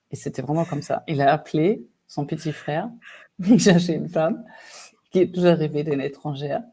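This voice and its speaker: German-accented woman, mellow storyteller. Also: low-pitched, slightly rough. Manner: lively, animated, many fillers and restarts. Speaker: guest, executive coach. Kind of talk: casual storytelling interview.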